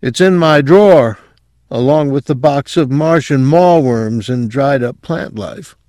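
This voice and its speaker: A man voicing a drowsy, sedated, half-conscious man who mumbles in a dull, high-pitched voice for an audiobook. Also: a little slow, mellow, dry recording, clean studio sound, close to an everyday voice. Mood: dazed confiding. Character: drugged, half-conscious man mumbling secret memories of aliens.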